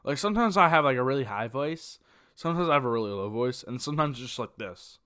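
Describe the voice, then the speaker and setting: A man's voice: mid-range voice, expressive, fast talker, bright, forceful tone, clear, resonant tone. A young man in his late teens, streaming from home on a desk mic and chatting casually, rambling with lots of fillers and self-corrections. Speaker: streamer reacting to videos. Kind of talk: casual live-stream chat.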